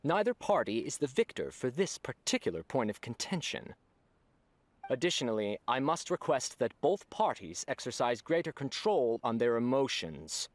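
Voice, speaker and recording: A man's voice: medium pitch, big pitch swings, normal speed, slight background noise, close to an everyday voice. A man voicing an impartial male arbiter in a video game, formal and official in manner.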